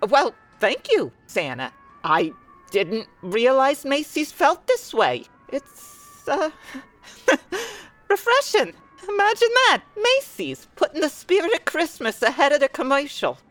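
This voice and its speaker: A woman's voice: sits high, wildly swooping pitch, a little slow, fairly bright tone, faint music behind, full character voice. A woman playing a harried, slangy, tough-talking mother out shopping in a radio play. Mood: softened, impressed. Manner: blunt, slangy, sardonic.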